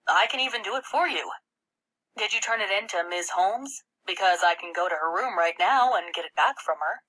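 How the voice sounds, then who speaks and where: A woman's voice: medium pitch, expressive, normal speed, pushed and bright, low-quality recording. A woman voicing an eager, self-important seventh-grade boy who takes charge, in a children's audiobook. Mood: insistent. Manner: insistent, eager.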